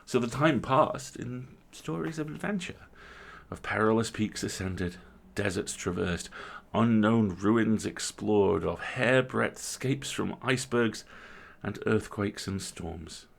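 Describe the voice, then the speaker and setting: A man narrates a literary tale in a deep voice with plenty of fry. His phrasing is theatrical and emphatic, set off by deliberate pauses. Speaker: story reader, host.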